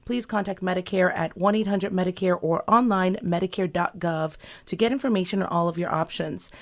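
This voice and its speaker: A woman hosting a radio show, reading a formal introduction in a measured way. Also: medium pitch. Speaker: radio host.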